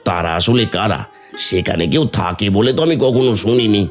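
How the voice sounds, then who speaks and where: A man voicing Nimai, a poor and kind village man in a Bengali cartoon, who talks earnestly and thinks out loud. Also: on the low side, brisk, faint music behind, lightly acted. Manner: earnest, thoughtful, speaking his thoughts aloud. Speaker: voice actor.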